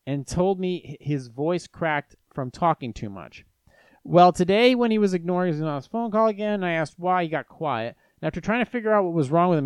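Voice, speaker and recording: A young man with a slightly high, smooth voice, recorded close and dry. He reads advice letters aloud and then answers them bluntly and irreverently, cursing freely and restarting his sentences. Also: no room sound. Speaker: advice show host.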